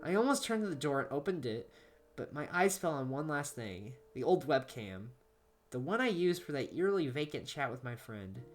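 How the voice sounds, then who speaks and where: A woman narrates a horror story in an amateur, dramatic style. Her voice is low with very lively intonation, and soft music runs underneath. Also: normal speed.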